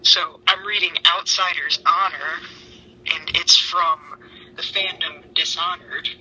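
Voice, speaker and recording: Bright-voiced woman reading fan fiction, noisy recording. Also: fairly low pitch, steady tone, takes it slowly. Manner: sweary, self-mocking asides. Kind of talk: dramatic amateur story reading with casual asides.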